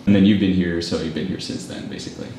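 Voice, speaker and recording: A man with a very low voice hosting a relaxed chat, talking fast and rambling through his questions. He sounds set back from the mic in a strongly echoing room. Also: background noise. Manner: chatty, rambling, fillers like "like". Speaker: coffee shop host. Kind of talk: casual interview. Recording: echoey room, mic at a distance.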